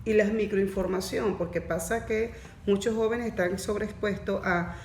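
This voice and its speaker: A woman's voice: medium pitch, steady tone, normal speed, darkish tone. A woman who facilitates a neurodiversity course, explaining things in a podcast discussion and asking pointed questions. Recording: echoey room with faint noise.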